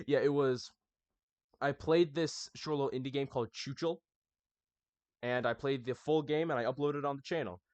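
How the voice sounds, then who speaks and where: Young man, gaming channel host, casual chatty recap, mid-pitched voice, dry close sound. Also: normal speed, pauses often, darkish tone, no echo, lo-fi sound. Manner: chatty, addresses viewers as y'all. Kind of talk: casual scripted anniversary recap.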